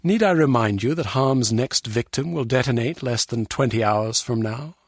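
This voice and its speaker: An actor with a British accent playing a stuffy, sarcastic, pompous intelligence official in a video game. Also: male voice, mid-range voice, expressive, normal speed, dry recording, studio quality. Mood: disdainful.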